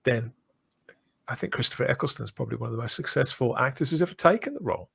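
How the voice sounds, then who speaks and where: Man, middle-aged, podcast host, medium pitch, effusive, emphatic, discursive, casual fan talk. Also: expressive, fast talker, gravelly, a bit bright, husky.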